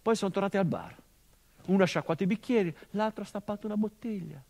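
A man's voice: high-pitched, quick pace, creaky, darkish tone. An actor playing a middle-aged son haunted by his father's murder, in an intimate, brooding theatrical monologue for radio. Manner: intimate and brooding, swelling into anguished outbursts. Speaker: stage actor.

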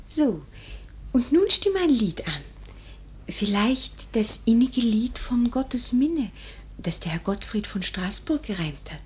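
An actress playing a coquettish, softly commanding young countess in a 1940s German radio drama, speaking in a coaxing, theatrical way. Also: female voice, a little high, sing-song, slow, husky, heavily acted. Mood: coy, coaxing.

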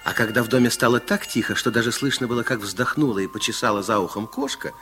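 A man's voice: medium pitch, fast, pushed and bright, soft background music, lightly acted. A man playing a kindly, playful storyteller in a children's radio play, speaking in a confiding way. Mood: hushed, suspenseful. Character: kindly fairy-tale narrator, playful and confiding.

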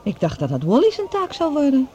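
An earnest, vulnerable woman of science in a science fiction radio play. Her voice is quite high and gentle in tone, over faint background noise. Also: wildly swooping pitch, brisk, lo-fi sound. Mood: inquiring. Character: earnest, vulnerable female scientist on a Mars project. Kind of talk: Dutch science fiction radio drama acting.

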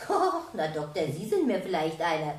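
An actress playing a cheeky, plain-spoken vicarage housemaid in a radio drama, breezy and familiar. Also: female voice, fairly low pitch, big pitch swings, normal speed, recorded from a distance. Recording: roomy, off-mic, faint noise.

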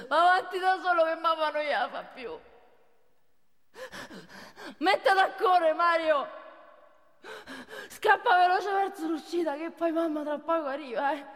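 High-voiced, desperate mother, echoing hall. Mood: tender, strained. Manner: pleading, emotional, colloquial. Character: wounded working-class mother in labour, desperate, tender, defiant. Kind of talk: live theatrical monologue. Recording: reverberant theatre hall.

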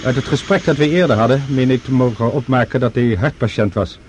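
Noisy old radio drama: male actor as an older gentleman doctor, polite, smooth-talking, formally solicitous, medium pitch. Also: fairly quick, natural voice. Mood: grave, formal. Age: middle-aged or older. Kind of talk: Dutch radio drama acting. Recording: old radio drama with clear background noise.

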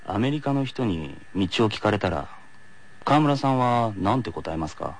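A man voicing a grumbling teenage tennis player in a flat mutter, in anime radio show style. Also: lowish voice, moderate pace, slight background noise, voice pushed down. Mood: gloomy muttering.

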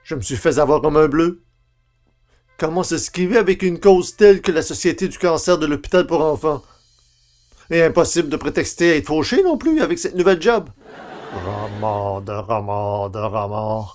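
An actor playing a hapless, grumbling bookseller in a comic old-time radio play, hesitant and theatrical. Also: male voice, on the high side, lively intonation, normal speed, darkish tone, faint music behind, close to an everyday voice. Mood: grumbling, exasperated. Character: hapless, grumbling everyman, failed singer turned bookseller.

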